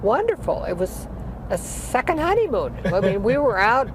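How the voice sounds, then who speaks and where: A woman's voice: fairly high pitch, big pitch swings, moderate pace, background noise. A woman in her eighties, a singer, telling her life story in a chatty, rambling interview, with many restarts. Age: 80s. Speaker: guest, singer.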